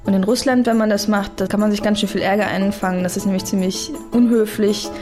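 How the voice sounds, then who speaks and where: A woman's voice: medium pitch, fairly even intonation, fast, over music. Chatty woman explaining customs. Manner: plain and chatty.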